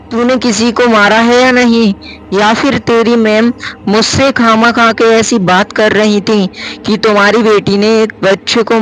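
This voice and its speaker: A man's voice: high-pitched, fairly bright tone, pitched above the performer's own voice, rough recording. A man voicing an anxious, scolding middle-aged mother in a spoken story.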